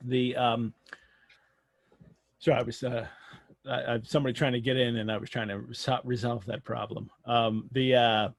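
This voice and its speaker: A man hosting a live online interview over a video call, asking questions in a halting, rambling, casual way. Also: medium pitch, flat delivery, creaky, no echo. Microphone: video call microphone.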